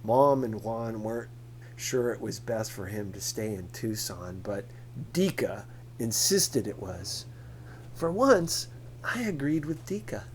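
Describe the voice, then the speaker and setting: A man's voice: lowish voice, expressive, slow pace, a little hiss or hum, poor audio. A man reading a first-person novel aloud as scripted narration. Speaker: audiobook reader.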